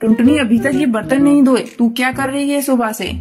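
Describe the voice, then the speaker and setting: Woman voicing a high-pitched, scolding, haughty crow wife. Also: fairly even intonation, fairly quick, over music, lightly acted. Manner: scolding, bossy, sarcastic. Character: haughty, bitter, hard-hearted female crow, rich wife. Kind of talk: Hindi animated moral story dialogue.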